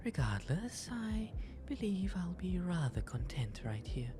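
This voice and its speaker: A man acts out a genteel, bashful owl demon aristocrat for an ASMR roleplay. The voice is soft and breathy, slow but lilting with lively pitch. The diction is formal, teasing and self-deprecating, over a clear music bed. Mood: confiding, wistful. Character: genteel, shy, playfully flirtatious owl demon nobleman. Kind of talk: ASMR roleplay, intimate audio drama. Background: music throughout.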